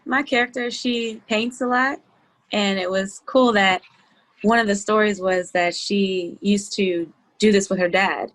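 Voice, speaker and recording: A woman's voice: mid-range voice, fairly even intonation, unhurried, a bit bright, rough recording. A woman who is a cast member, chatting casually and informally on a group video call. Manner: informal, self-described goofy. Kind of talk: casual chat. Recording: faint noise on video call.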